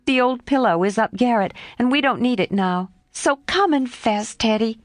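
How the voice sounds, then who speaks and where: A woman reading aloud and voicing an impulsive, excitable young woman, with exclaiming, high and animated lines. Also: a little slow, no echo, studio quality. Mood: teasing.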